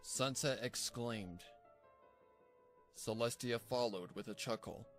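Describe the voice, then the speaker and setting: A man's voice: mid-range voice, takes it slowly, faint music behind. A man reading scripted story narration as the narrator of a fan fiction tale.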